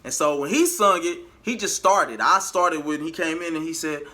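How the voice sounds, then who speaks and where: A man telling a story in a casual vlog, animated and slangy, acting out bits of conversation as he goes. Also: on the high side, normal speed. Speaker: vlogger and amateur singer.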